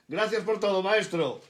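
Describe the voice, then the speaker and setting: A man with a Galician accent hosting a radio show, chatting briskly and often checking in with "vale?". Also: sits high. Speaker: radio host.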